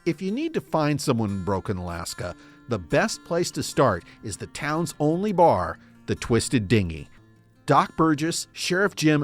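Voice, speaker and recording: A man's voice: medium pitch, natural voice. A man reading a scripted introduction as the narrator of a radio drama. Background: guitar music.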